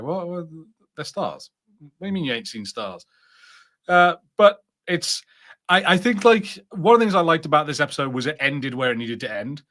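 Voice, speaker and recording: A man co-hosting a podcast, chatting casually with halting starts and acted-out imagined lines. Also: on the high side, a bit bright. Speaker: podcast co-host. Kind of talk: casual review chat.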